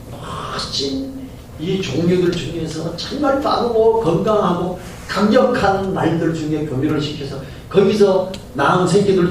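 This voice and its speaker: Middle-aged male pastor with a slightly high voice, preaching conversationally to the congregation, heard from a distance with faint hiss behind. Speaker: senior pastor. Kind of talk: Sunday sermon. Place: church sanctuary. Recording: very echoey church hall from far off, faint hiss.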